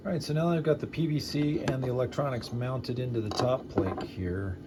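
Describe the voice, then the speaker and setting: A man giving a casual, hesitant hands-on walkthrough of a DIY project, explaining it off the cuff with frequent pauses. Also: medium pitch, heavy background noise. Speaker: DIY builder and host.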